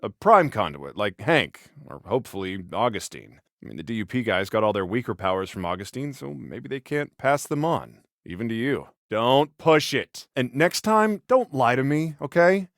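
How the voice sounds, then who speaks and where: An American policeman in a video game, the protective older brother who is always a bit exasperated. His delivery swings widely in pitch, earnest and bossy with a wry edge. The recording is dry and close. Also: sing-song, moderate pace, hardly any pauses, no echo. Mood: coaxing, exasperated.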